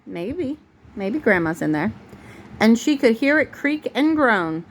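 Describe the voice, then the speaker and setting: A woman's voice: fairly high pitch, very animated, takes it slowly. Woman reading a bedtime story, noisy recording. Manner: breaks off to answer the child patiently. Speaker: mother reading a bedtime story to a young child. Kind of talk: storybook read-aloud. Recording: home recording with clear background noise.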